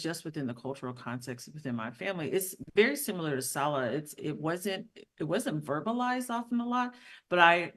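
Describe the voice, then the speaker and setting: A woman raised in the Southern US sharing reflections in a class discussion over a video call, halting, with many "um"s. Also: low voice. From Southern United States. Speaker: class facilitator.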